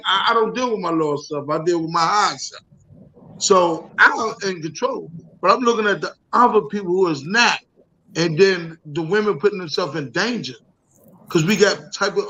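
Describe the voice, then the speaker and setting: A man's voice: sits high, bright, forceful tone, rough recording. A man in a casual panel debate who insists on his point and often repeats himself. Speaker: talk show panelist.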